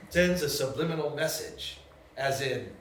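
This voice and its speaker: A man playing a slick, persuasive dealmaker in a live table read, delivering his pitch with dramatic pauses. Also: lively intonation, lightly acted. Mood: grandiose flattery. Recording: echoey room with background noise.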